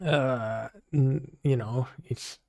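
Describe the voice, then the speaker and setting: A man's voice: medium pitch, steady tone, very slow, gravelly, mellow. A drunk man with a Finnish accent, a streamer giving crude, joking reaction commentary. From Finland. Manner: crude, swearing, joking, laughing.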